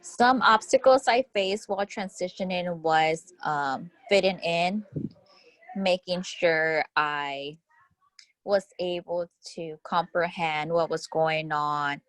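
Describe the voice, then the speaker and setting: A young woman from Houston, a Spanish speaker from childhood, answers questions earnestly and thoughtfully, sometimes restarting a phrase. Her voice sits a little low for a woman. The recording is dry and close, with no room sound. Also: slow pace, fairly bright tone, no echo, poor audio. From Houston, Texas. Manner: earnest, reflective, with small restarts. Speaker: interviewee. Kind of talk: casual interview answers.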